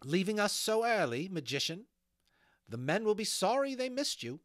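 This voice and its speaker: A man voicing a bitter, fierce peasant woman, pitched up. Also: very animated, moderate pace, fairly bright tone, dry recording, studio quality. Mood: mocking. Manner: scolding, anguished outbursts.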